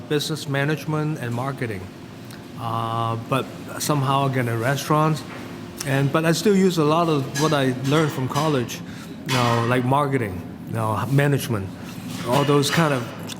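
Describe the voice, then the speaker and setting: Chef, middle-aged man, Hong Kong accent, informal hesitant delivery, recorded in a reverberant, noisy room. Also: mid-range voice. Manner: rambling, hesitant, non-native phrasing. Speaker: chef and cooking teacher. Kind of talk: casual interview answers and explanation.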